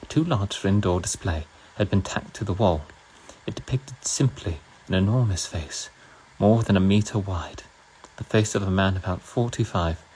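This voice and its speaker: A man putting on a British accent as a novel's narrator, reading in a measured, literary, slightly halting way. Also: sits low, slight background noise, poor audio. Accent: put-on British. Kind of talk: audiobook-style novel reading.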